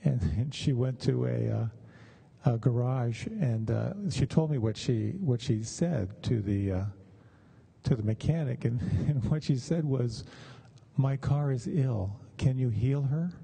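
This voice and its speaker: Husky, very mellow man, gently creaky. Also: medium pitch, a little slow. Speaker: meditation teacher giving a talk. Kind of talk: conversational dharma talk with personal stories.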